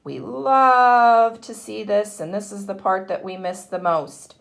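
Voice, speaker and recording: A woman's voice: medium pitch, steady tone, slow, creaky. A woman teacher talking to young children, encouraging them with simple step-by-step instructions and questions. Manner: encouraging, asks questions and answers them herself. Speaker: kindergarten teacher.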